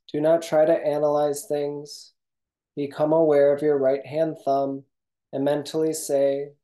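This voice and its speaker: Yoga nidra guide, calm and instructional, deeply relaxed tone with little pitch movement, reading a script in measured phrases.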